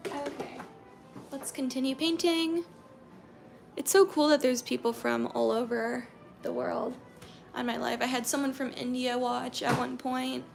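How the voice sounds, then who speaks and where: A young American woman from Georgia chatting casually with viewers on a painting livestream, reading their comments aloud and murmuring softly while she paints. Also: sits high, fairly even intonation, unhurried, creaky, over music. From Georgia, USA.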